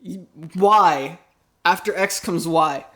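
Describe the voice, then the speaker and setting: A man with a notably high voice co-hosts an improv comedy show. His delivery is casual and playful, full of loose banter between games. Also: slow, pushed and bright. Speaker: podcast co-host.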